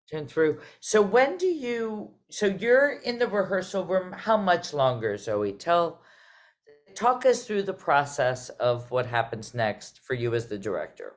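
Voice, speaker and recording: A middle-aged woman hosting a conversational panel over a video call, musing and emphatic as she circles through her phrasing. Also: low-pitched. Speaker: host and theater artistic director. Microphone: video call microphone.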